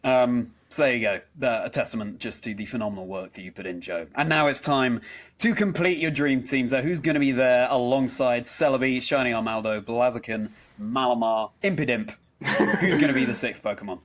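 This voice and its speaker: A man's voice: mid-range voice, fairly quick. A man hosting an interview chat, speaking in an effusive, rather formal and wordy way. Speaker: podcast host interviewer.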